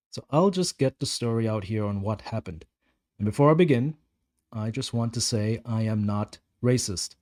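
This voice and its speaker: A man's voice: mid-range voice, warm tone, close to an everyday voice. A man playing a blunt, defensive, fed-up security guard rambling through a confession in an audio story monologue. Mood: defiant, grudging.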